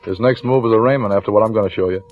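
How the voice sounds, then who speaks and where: An actor playing a shrewd, weary police lieutenant in an old-time radio crime drama, with clipped colloquial delivery. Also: male voice, lowish voice, rapid-fire, close to an everyday voice. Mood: insistent. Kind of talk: old-time radio crime drama acting, first-person narration and dialogue. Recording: dull vintage radio recording with music.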